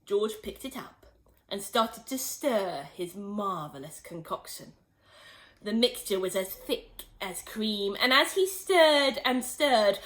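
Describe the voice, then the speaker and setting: A woman teacher reading a children's story aloud in an expressive, animated way. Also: a little high.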